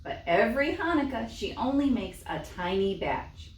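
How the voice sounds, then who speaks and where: A woman reading a children's story as a library storytime host, expressive and speaking in simple short phrases. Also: on the high side, moderate pace. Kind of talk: animated children's storytime narration. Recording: echoey room, distant mic.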